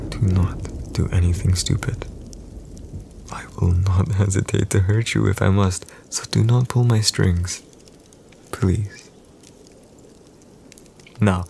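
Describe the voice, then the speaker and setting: A man's voice: sits low, gravelly, a little mellow, a little hiss or hum, heavily acted. A man playing a haughty, teasing villain emperor in an ASMR audio roleplay, with formal, condescending, old-fashioned diction. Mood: menacing, amused.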